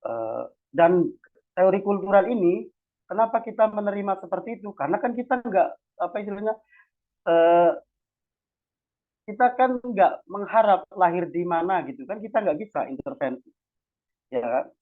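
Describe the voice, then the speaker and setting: A man's voice: high voice, lively intonation, moderate pace, long pauses. Tinny, phone-like man explaining casually. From Cilacap, Central Java, Indonesia. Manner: conversational, repeatedly adds checking tags while explaining. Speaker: academic discussion host and speaker. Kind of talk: informal explanatory lecture with casual slang. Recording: tinny phone-like online audio.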